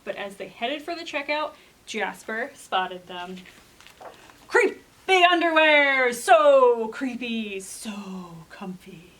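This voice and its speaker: Female children's storyteller: highish bright voice, dramatic, suspenseful, emphatic picture-book delivery. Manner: expressive, suspenseful, with drawn-out emphatic words. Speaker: storytime reader.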